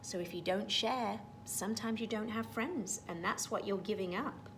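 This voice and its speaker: A woman's voice: medium pitch, normal speed, a bit bright, a little hiss or hum, rough recording. A woman teacher reading a storybook to young children, stopping to explain things in simple words. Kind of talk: storybook read-aloud with explanatory asides.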